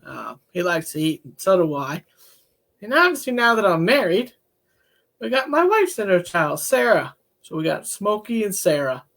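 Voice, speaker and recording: A man talking as a counselor in a casual, candid discussion, with a relaxed, folksy manner. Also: high voice, moderate pace, gravelly. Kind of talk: casual podcast-style discussion.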